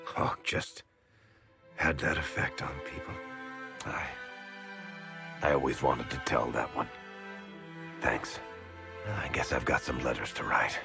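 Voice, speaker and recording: An actor playing a wry, streetwise rogue who tells stories conversationally in a game cutscene. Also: male voice, rumbling low, gravelly, exaggerated voice. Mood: subdued, grieving. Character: roguish storytelling man, wry, fond, streetwise.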